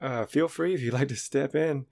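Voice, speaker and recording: A man with a naturally deep voice, co-hosting a podcast in a rambling, self-deprecating chat. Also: moderate pace, creaky, a little mellow. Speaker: podcast co-host. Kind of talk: casual chat.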